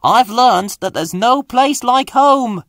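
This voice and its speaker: A man's voice: very high-pitched, expressive, slow, a bit bright, no room sound, clean studio sound, pitched above the performer's own voice, heavily acted. A man voicing a cheerful but nervous little steam engine in a storybook, eager and easily frightened. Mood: grateful.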